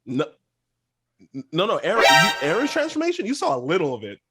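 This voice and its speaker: A man co-hosting a podcast, giving measured opinions in a casual anime review chat. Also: high-pitched, wildly swooping pitch, loud music behind the voice. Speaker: podcast co-host.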